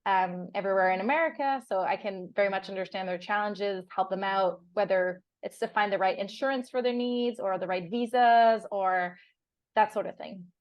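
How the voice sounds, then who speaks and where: A young woman with French as her first language talks casually and cheerfully, laughing as she goes and catching herself mid-word. Her voice sits at an ordinary middle pitch. The sound is dry and close, with almost no room around it. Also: normal speed, no room sound. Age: young adult. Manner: chatty, laughs often, restarts words. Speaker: guest. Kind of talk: casual interview chat. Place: on a sailboat.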